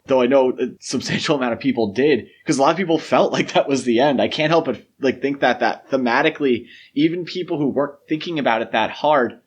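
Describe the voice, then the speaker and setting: A man speaks as a podcast host in an easygoing discussion format, with a middling pitch. He states opinions with emphasis and often stops to qualify what he has just said. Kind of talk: casual film discussion.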